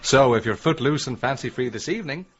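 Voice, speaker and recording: An actor playing a flirtatious, attentive hairdresser with a coaxing, chummy manner in a radio comedy-drama. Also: male voice, mid-range voice, animated, fast, dull audio, natural voice. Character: smooth, flirtatious male hairdresser, gossipy and attentive.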